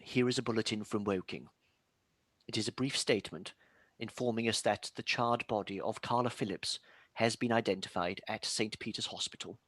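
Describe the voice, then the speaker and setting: A man's voice: on the low side, no room sound. A man playing a composed radio news announcer who reads bulletins formally, in a 1930s-style radio drama. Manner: formal, even bulletin delivery.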